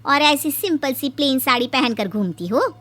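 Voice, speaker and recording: A woman's voice: high-pitched, lively intonation, normal speed, a bit bright. A woman voicing a nosy, gossipy neighbour who needles and taunts in a cartoon story. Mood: taunting. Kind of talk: cartoon moral-story voice acting.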